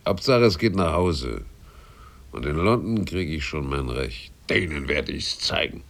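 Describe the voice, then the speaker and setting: An actor in a radio play voicing a shabby, grasping sailor drifter, gravelly, cocky and aggrieved in slangy speech. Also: male voice, very deep voice, expressive, measured pace, heavily acted. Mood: sullen, defiant. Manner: aggrieved, cocky, slangy, swinging from grumble to outburst.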